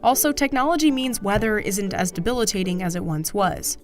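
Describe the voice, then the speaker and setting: A woman's voice: mid-range voice, expressive, fairly quick, faint music behind. A woman reading scripted documentary narration.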